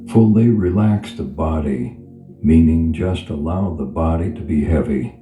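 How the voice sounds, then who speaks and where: A man guiding a meditation in a soothing, unhurried manner, leaving long pauses between phrases. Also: very deep voice, mellow. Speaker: meditation guide. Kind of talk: guided meditation. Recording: reverberant voice over clear background music. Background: background music.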